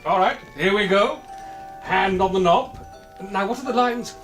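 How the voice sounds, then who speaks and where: A man's voice: sits high, unhurried, fairly bright tone, soft background music. An actor playing a cocky, amused man, breezy and mocking, in a radio drama. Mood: cocky bravado. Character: cocky, amused British man calling a bluff.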